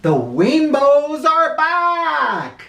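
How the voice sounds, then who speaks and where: A man acts out an excitable young schoolboy detective from a children's storybook. His voice leaps very high and comes in exclaiming bursts, slightly distant in a roomy echo. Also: extremely slow, vocal fry, exaggerated voice. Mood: excited. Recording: echoey room, distant mic.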